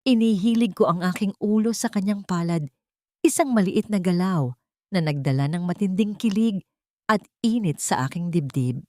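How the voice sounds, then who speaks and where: A woman storyteller with a fairly low voice. She delivers dramatic first-person narration from a script, recorded close and dry. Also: normal speed, dark tone, dry recording.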